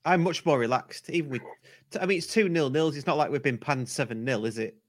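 A man, hosting a football podcast in relaxed, chatty conversation. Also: fairly high pitch, fast talker. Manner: chatty, laughs easily. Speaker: podcast host. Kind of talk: casual chat.

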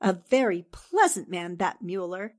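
A woman's voice: lowish voice, sing-song, measured pace. A woman playing a sensible, knowing 1940s newspaperwoman in a radio drama, composed and a little chiding.

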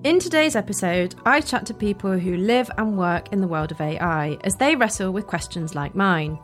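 A woman's voice: medium pitch, expressive, normal speed, faint music behind. A British woman hosting a podcast, speaking in a scripted narration style. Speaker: podcast host.

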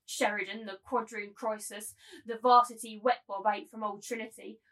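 A woman's voice: medium pitch, fairly even intonation, normal speed, a bit bright, distant mic, lightly acted. A woman playing a cruel, gloating, domineering madam in a theatrical reading of a novel, taunting and imperious. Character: cruel, gloating, domineering brothel madam turned masculine tyrant. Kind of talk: dramatic table reading of a novel.

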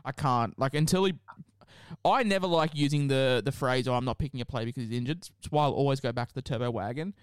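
Blunt man, smooth dry voice. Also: mid-range voice, fast, no echo. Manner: blunt, swears casually, opinionated. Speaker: podcast co-host. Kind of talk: casual sports chat.

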